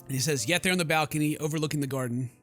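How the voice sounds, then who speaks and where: A man's voice: a little high, very fast, a bit bright, soft background music. A man doing let's play commentary, reading game text aloud dramatically and stumbling now and then. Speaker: gaming YouTuber.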